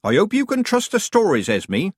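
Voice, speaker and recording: A man's voice: high voice, huge pitch swings, moderate pace, slightly rough, dry recording, clean studio sound, pitched above the performer's own voice, exaggerated voice. A man voicing a jolly old witch woman, chirpy and teasing.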